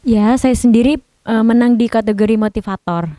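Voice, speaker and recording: A young woman student from Indonesia answers questions on a podcast in an earnest, slightly hesitant way. Her voice sits a little high. The sound is dry and close. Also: steady tone, normal speed, dark tone, dry recording. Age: young adult. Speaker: student guest.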